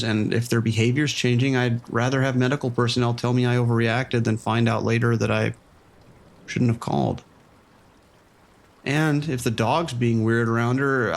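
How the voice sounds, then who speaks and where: A man voicing a weary police sergeant with a gravelly, creaky voice. He stays calm and informal and stumbles over his words now and then. His pitch sits at an ordinary middle level. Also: normal speed, close to an everyday voice. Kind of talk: audio drama acting.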